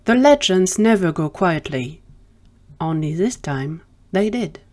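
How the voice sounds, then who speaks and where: A woman reading a scripted story as its narrator, in a measured, dramatic storytelling manner. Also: on the low side, expressive, darkish tone, slight background noise.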